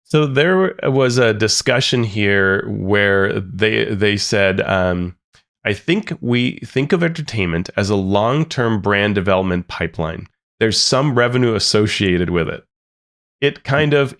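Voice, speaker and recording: Man, podcast co-host, gravelly, lowish voice, casual chat. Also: a bit bright. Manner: reads quotes aloud then comments, many restarts.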